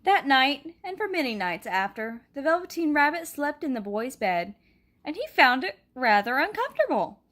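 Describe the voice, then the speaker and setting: A woman reading a picture book aloud in a gentle, expressive way, with a soft laugh now and then. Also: sits high, moderate pace. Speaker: storybook reader.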